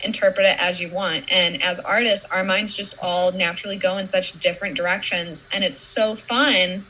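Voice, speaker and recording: Bright-voiced, gushing woman artist. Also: mid-range voice. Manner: gushing, emphatic. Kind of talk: casual chat. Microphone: video call. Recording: noisy video call.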